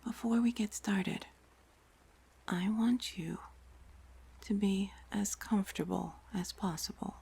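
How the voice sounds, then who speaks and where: Slow whispering woman guiding relaxation. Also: medium pitch, warm tone. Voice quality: breathy whisper. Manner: slow, soothing, deliberate phrasing with long pauses. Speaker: guided meditation narrator. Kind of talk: whispered guided relaxation, ASMR-like scripted narration.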